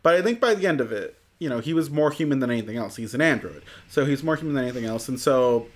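A man's voice: medium pitch, animated, fast. A man co-hosting a podcast, talking casually in a rambling way with lots of "you know" fillers. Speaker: podcast co-host. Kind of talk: casual chat.

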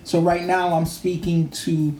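A man's voice: on the high side, little pitch movement, unhurried, darkish tone, roomy sound, slight background noise. A man co-hosting a podcast, talking casually and rambling with many restarts. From New York. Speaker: podcast co-host. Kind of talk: casual chat.

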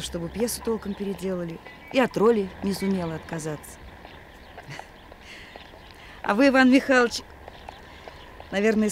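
A woman playing a wistful, self-reproaching stage actress in a radio drama, expressive and theatrical in her delivery. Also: mid-range voice, lightly acted. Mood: rueful, wistful. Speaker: actor. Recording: noisy old radio play recording.

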